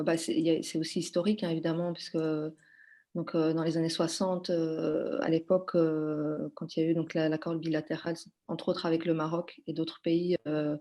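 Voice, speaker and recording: Hesitant woman from Belgium, lowish voice. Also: warm tone. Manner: hesitant, many fillers and restarts. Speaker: podcast guest. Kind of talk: conversational interview.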